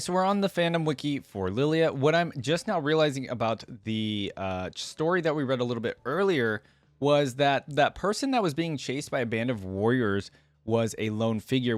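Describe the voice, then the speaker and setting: A man's voice: mid-range voice, dry recording. A man giving casual, enthusiastic reaction commentary as a video game fan on his channel.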